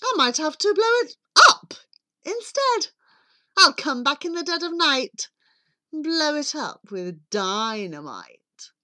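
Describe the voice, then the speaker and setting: A woman's voice: high-pitched, big pitch swings, slow, pushed and bright, no room sound, clean studio sound, pitched above the performer's own voice, heavily acted. Woman putting on a greedy, blustering wolf villain. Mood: threatening. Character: greedy, boastful, menacing male wolf villain. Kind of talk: dramatised verse storytelling.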